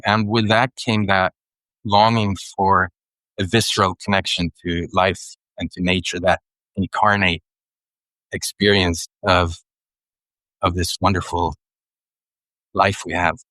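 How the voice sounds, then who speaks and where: A middle-aged Swedish man talks in accented English, his very low voice carrying a bright, forceful edge. He is reflective and unhurried, often pausing to restart a phrase. The recording is close and dry. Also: steady tone, no echo, poor audio. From Sweden. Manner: thoughtful, unhurried, with frequent pauses and restarts. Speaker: guest. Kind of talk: reflective interview conversation.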